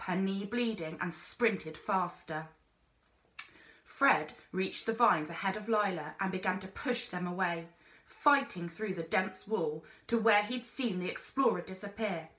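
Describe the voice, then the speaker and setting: A woman reading a children's story aloud in an expressive narrating style, like a teacher. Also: mid-range voice, echoey room.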